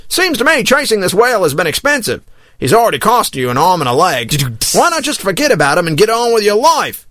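A man voicing a naive, excitable, goofy young sailor in a comic story, with noise behind the voice. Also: high-pitched, a little fast, dry recording, pitched above the performer's own voice. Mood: timid, cheeky.

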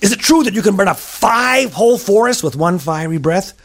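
A clever young princess pipes up high and eager, as a storyteller puts her on, pleading with repeated questions. The storybook voice leaps and swoops through its pitch. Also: expressive, brisk, lightly acted. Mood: eager coaxing.

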